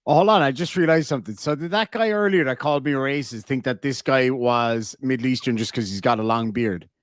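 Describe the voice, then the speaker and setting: A man's voice: mid-range voice, brisk, no room sound, clean studio sound. A man with an Irish accent hosting a live stream, joking sarcastically and reading out viewer messages. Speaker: livestream host reacting to videos. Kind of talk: casual live commentary.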